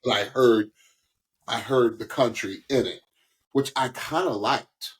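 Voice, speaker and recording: A man co-hosting a music podcast, giving casual, opinionated commentary on singers in a halting way. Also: medium pitch. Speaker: podcast co-host, singing critic.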